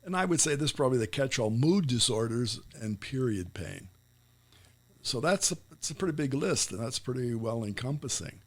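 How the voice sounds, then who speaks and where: A man's voice: medium pitch, expressive, normal speed. A man speaking as the host and interviewer on a podcast, in a conversational style.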